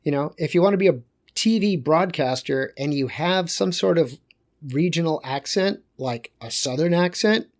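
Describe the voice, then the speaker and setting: A man lecturing on geography in a loose, conversational way, pausing and correcting himself as he goes. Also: a little high.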